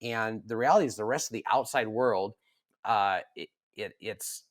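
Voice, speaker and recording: A man's voice: fairly low pitch, normal speed, no echo, studio quality. A man co-hosting a casual podcast conversation, talking earnestly and animatedly, often restarting and repeating words as he works through his ideas. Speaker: podcast co-host.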